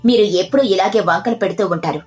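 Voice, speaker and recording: A woman's voice: mid-range voice, quick pace, a little mellow, faint music behind, lightly acted. A woman voicing a proud, snobbish wife of a village headman in a story, haughty and complaining. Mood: defensive.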